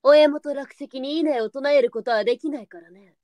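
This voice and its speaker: A woman's voice: sits high, moderate pace, no echo, highs cut off, close to an everyday voice. A woman playing a worldly, firm but kindly brothel madam, composed and matter-of-fact, in a Japanese period audio drama. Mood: composed, advising.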